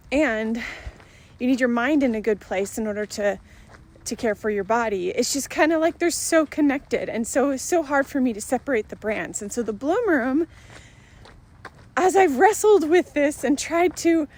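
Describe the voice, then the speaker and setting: A middle-aged woman with a somewhat high voice talks casually to her vlog camera. She rambles in a chatty way, circling around her thoughts and often giggling as she goes. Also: a little mellow, slight background noise. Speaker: vlogger.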